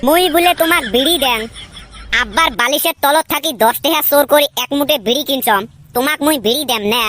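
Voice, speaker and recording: A man voicing a cheeky, grumbling village lad in a comedy cartoon, teasing in a northern Bengali Rangpur accent through a pitched-up filter. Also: a little fast, pushed and bright, a little hiss or hum. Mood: squabbling. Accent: northern Bengali (Rangpur region). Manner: teasing, colloquial banter.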